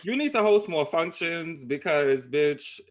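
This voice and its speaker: A man's voice: fairly high pitch, animated, unhurried. A man co-hosting a casual podcast chat, blunt and teasing. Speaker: podcast co-host.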